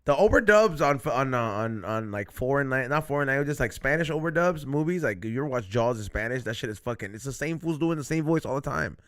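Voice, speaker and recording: A man's voice: medium pitch, animated, fast talker, few pauses, fairly bright tone, clean tone. A man hosting a podcast in casual, rambling chat, cursing freely and laughing often. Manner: laid-back, rambling, swears a lot. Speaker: podcast host.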